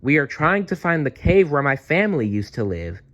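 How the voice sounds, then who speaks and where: A man puts on a small boy dinosaur for a storybook. He sounds timid but brave, pleading earnestly in a raised, swooping pitch. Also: very animated, normal speed, fairly bright tone, dry recording.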